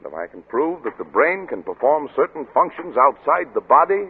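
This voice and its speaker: An actor playing a driven, brusque scientist and father in an old-time radio drama, lecturing briskly and insistently. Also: on the high side, sing-song, slightly rough, narrow, tinny audio, exaggerated voice. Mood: curt, insistent. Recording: crackly, band-limited vintage radio broadcast.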